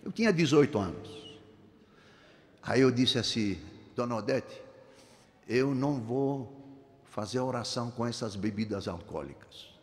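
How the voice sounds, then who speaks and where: A man in his seventies preaching a sermon in a church. He is emphatic, swinging from low, soft phrases to high, ringing exclamations, and speaks slowly and deliberately. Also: expressive. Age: 70s. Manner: slow, deliberate delivery. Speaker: pastor. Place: church sanctuary. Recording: reverberant church hall.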